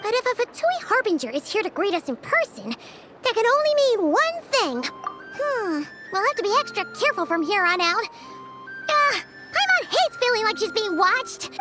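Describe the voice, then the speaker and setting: A woman voicing a tiny, excitable, childlike fairy companion in an animated, expressive video game style. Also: very high voice, moderate pace. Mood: wary. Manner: excitable, expressive, speaks of herself in third person. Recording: music behind the voice.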